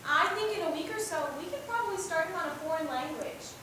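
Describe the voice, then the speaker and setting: A woman playing a caring, encouraging schoolteacher in an amateur student stage play. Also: fast. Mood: concerned pride. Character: caring, earnest young woman teacher, encouraging and protective. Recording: echoey stage, distant mic, low rumble.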